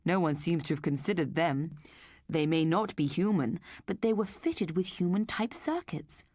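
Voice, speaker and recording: A woman voicing a thoughtful, soft-hearted husband who speaks slowly and musingly. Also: lowish voice, animated, dry recording, clean studio sound. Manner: slow, musing.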